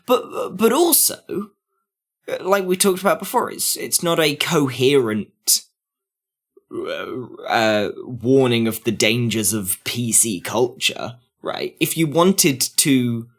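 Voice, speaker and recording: A man in a casual review discussion as a podcast panelist, halting as he searches for words. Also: medium pitch, expressive.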